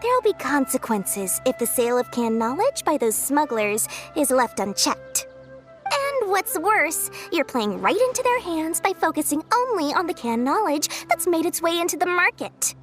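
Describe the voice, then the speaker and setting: Cheeky young female merchant, high voice, swooping intonation, glib sales patter, video game character. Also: big pitch swings, moderate pace, soft background music. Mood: confiding, serious. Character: shrewd, cheeky young woman merchant, eager for profit.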